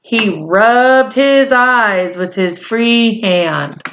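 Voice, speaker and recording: A woman reading a storybook aloud as narrator, in measured, deliberate phrases. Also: a little high, lively intonation, a bit bright, dull audio, a little hiss or hum.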